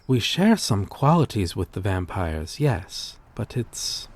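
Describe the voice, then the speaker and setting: A man playing a courteous, melancholy vampire-like creature in an ASMR roleplay, speaking gently and intimately in formal, old-fashioned phrases. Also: on the low side, very animated, slow, slight background noise, exaggerated voice. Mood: hesitant, wistful. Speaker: roleplay voice performer.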